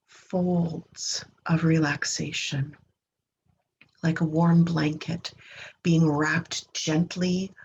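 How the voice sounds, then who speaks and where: A woman leading a guided meditation in a gentle, unhurried manner, leaving long pauses and trailing off breathily at the ends of phrases. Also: low-pitched. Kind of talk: guided meditation, slow soothing instruction. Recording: dull lo-fi recording with clear background noise.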